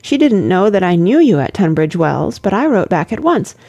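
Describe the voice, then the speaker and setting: A woman voicing an eager, naive young English gentlewoman in an effusive, girlish way for an audiobook. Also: mid-range voice, big pitch swings, moderate pace. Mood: eager, chatty.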